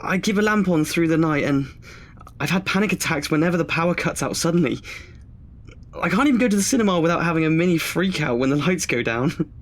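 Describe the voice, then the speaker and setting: A man's voice: a little high, lively intonation, fast talker, natural voice. An actor playing a nervous, chatty twenty-year-old male student in an audio drama, hesitant and self-deprecating. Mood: embarrassed, anxious.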